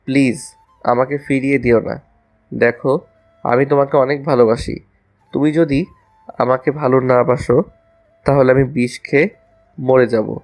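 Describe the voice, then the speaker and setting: A man's voice: mid-range voice, steady tone, unhurried, long pauses, a little mellow, close to an everyday voice. Man playing a lovestruck, cheeky young student over music. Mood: earnest, pleading.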